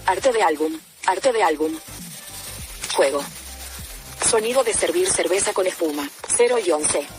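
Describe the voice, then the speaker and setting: A woman's voice: lowish voice, lively intonation, unhurried, music in the background. A synthetic woman's voice working as a screen reader, announcing interface labels in clipped phrases.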